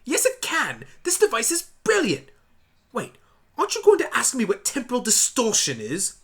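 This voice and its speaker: A man voicing an excitable, brainy male pony time traveler, wordy and theatrical, in an amateur audio drama. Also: extremely high pitch, normal speed, breathy edge, pitched above the performer's own voice, heavily acted. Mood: excited, indignant.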